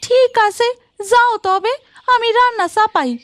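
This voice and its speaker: A woman voicing a supportive village wife in a fairy-tale cartoon, homely and expressive in her way of talking. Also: extremely high pitch, takes it slowly, a little hiss or hum, voice pushed up. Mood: agreeable.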